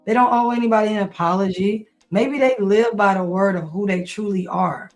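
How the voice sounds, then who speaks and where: A podcast co-host in casual banter, calm and matter-of-fact, sometimes a little hesitant. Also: medium pitch, a little fast, faint music behind.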